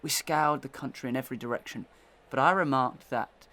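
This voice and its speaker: An actor playing a methodical, suspicious gentleman accuser in a Victorian audio melodrama, telling his account formally and dramatically. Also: medium pitch, a little fast, natural voice. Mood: suspicious, dramatic.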